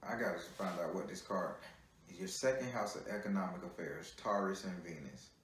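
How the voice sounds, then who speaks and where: A man with a lowish voice gives a chatty tarot reading. He teases the caller and repeats himself as he lays out each card. The sound carries heavy room echo. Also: moderate pace, a little hiss or hum. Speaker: tarot reader. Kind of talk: live tarot reading call.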